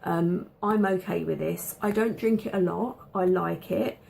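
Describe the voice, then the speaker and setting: A woman with a low, gravelly voice chats casually to camera at home. Her throat sounds a little dry from a slight cough, and she fills her pauses with ums and doubles up her words for emphasis. A faint hum of the room sits behind her. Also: a little mellow. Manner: chatty, many ums, repeats words for emphasis. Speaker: vlogger showing a food haul.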